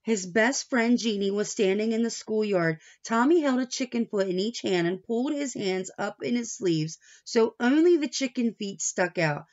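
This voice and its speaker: A woman reading a picture book aloud to young children like a teacher, playful and expressive, with asides, questions and some Spanish words mixed in. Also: a little high, moderate pace, hardly any pauses, highs cut off. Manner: playful, expressive, with gasps and exaggerated reactions; drops Spanish words in and translates them.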